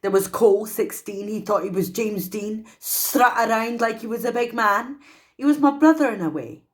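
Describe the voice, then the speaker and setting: A woman with a Scottish accent performing a dramatic, emotive solo stage monologue as a traumatised young woman raised in an orphanage, pausing deliberately. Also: fairly high pitch, close to an everyday voice. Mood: wry, reminiscing.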